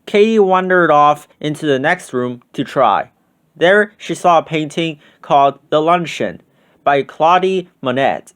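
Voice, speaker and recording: A man reading a children's storybook aloud as scripted, expressive narration. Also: a little high, unhurried, clear, resonant tone. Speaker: storybook reader.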